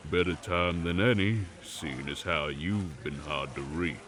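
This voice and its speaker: Man playing a slow, deep, sour swamp man. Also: a crowd in the background. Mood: sour, accusing. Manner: curt, grumbling, folksy. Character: sour, gruff, hard-nosed swamp man with a temper. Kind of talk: audio roleplay acting, dramatic dialogue.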